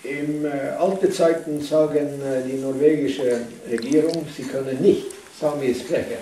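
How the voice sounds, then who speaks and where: A man interpreting for a tour group, halting and hesitant, filling pauses as he searches for words. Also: medium pitch, steady tone, warm tone. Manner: hesitant, many fillers and restarts. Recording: echoey room from far off with faint noise.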